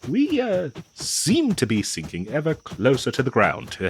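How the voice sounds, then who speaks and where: A man playing a flustered, devoted nineteenth-century gentleman in a comedic audio roleplay, verbose and old-fashioned, with a nervous stammer. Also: mid-range voice, huge pitch swings, a little slow, a little hiss or hum. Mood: nervous, apologetic.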